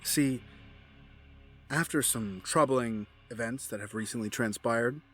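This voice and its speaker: An actor in an audio drama playing a cold, courteous, calculating crime boss with formal, polished phrasing. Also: male voice, medium pitch, measured pace, a bit bright, faint music behind. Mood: measured, probing. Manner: formal, urbane, elaborate wording.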